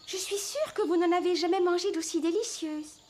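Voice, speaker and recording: A woman voicing an insistent, childlike little peasant girl selling her wares in a dubbed fairy-tale cartoon. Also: a little fast. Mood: coaxing. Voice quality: high, childlike. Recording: loud music behind the voice.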